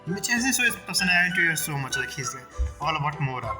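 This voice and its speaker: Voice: mid-range voice, sing-song, fast. A streamer giving casual, rambling, sweary reactions to a game story in non-native phrasing. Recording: loud game music behind.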